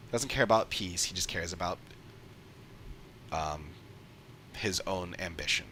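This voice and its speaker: A man's voice: sits low, measured pace, sharp tone, a little hiss or hum. A man giving casual, off-the-cuff livestream commentary as a game streamer, emphatic and repeating points for stress.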